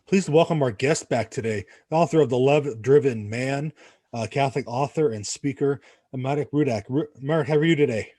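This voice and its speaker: Male host, brisk and friendly, dry close-miked sound. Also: mid-range voice, mellow, no echo. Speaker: podcast host. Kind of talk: hosting an interview.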